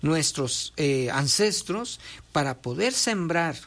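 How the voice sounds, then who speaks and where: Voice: medium pitch, animated, slow, a bit bright. A speaker reads from a text and explains it patiently, like a teacher. They often stop to check that the listener is following. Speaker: guest explaining traditional midwifery. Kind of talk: conversational explanation, reading from a text and commenting.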